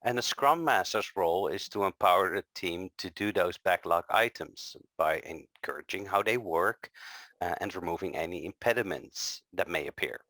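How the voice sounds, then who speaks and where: A man co-presenting a technical session, friendly, often pausing with ums and uhs. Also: low-pitched, bright, forceful tone, no echo. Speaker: conference co-presenter. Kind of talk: scripted tech presentation.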